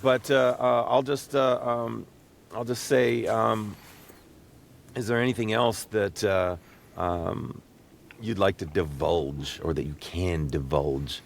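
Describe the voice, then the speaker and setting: A middle-aged man hosting a casual interview, often halting and repeating words as he gathers his thoughts. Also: fairly even intonation, vocal fry. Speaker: podcast host and interviewer. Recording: low rumbling background noise.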